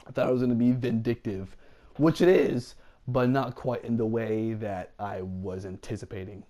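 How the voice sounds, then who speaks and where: A man's voice: medium pitch, fairly even intonation, normal speed, dark tone. A congested young man giving casual, rambling commentary on a video game. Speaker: let's play commentator.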